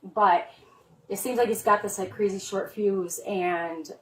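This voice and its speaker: A middle-aged mother explains things to an officer in a rambling, anxious way, leaning on "like" after every few words. Her voice sits at an ordinary middle pitch. It is picked up from a few steps away by a body camera in an echoey room. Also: fairly even intonation, a little slow, a little hiss or hum. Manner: rambling, heavy use of "like" fillers, earnest and worried. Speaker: mother reporting to police. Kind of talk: spoken statement to an officer. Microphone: police body camera worn by an officer. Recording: roomy, distant body camera audio.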